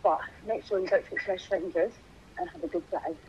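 A woman's voice: fairly low pitch, fairly even intonation, a little fast, husky. A young woman on a video call, chatting casually and fumbling over numbers. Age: young adult. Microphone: phone video call. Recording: dull phone video call audio.

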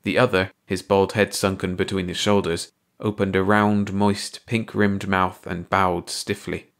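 A man narrating a science-fiction short story aloud in an expressive, measured manner. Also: deep voice. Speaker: audiobook narrator.

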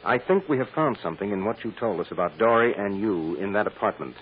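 An actor playing a calm, protective defense attorney in a radio drama, measured and firm. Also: male voice, on the low side, natural voice. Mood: grave, firm. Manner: measured, deliberate. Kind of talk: 1950s radio drama acting. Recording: dull noisy old radio recording.

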